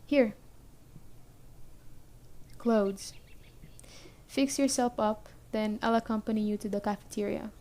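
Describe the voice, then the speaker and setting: A woman playing a stern but caring survivor camp leader in an ASMR roleplay, speaking briskly and matter-of-factly straight to the listener. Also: medium pitch, fairly even intonation, warm tone. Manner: brisk, matter-of-fact, addressing the listener directly.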